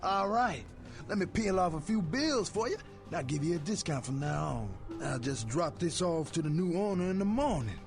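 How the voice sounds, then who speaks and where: Game character: jovial hustler dealer, high voice, highly animated and slangy, music underneath. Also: male voice. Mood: boastful, chummy. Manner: exuberant, slangy, drawn-out exclamations. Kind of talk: video game character acting.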